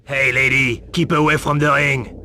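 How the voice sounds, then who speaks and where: An actor playing a surly, tough street bouncer in a video game, gruff, impatient and slangy. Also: male voice, mid-range voice, flat delivery, normal speed, music in the background, lightly acted. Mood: irritated, tough. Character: surly, tough male street-fight bouncer, easily irritated. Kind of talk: video game cut-scene acting.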